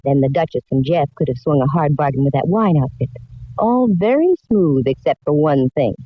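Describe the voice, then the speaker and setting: Shrewd female detective, low-pitched for a woman, self-assured, wry wisecracks, 1940s radio play, muffled vintage recording. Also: lively intonation, normal speed, breathy edge, no room sound. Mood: confident, revealing. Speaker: lead actress and narrator.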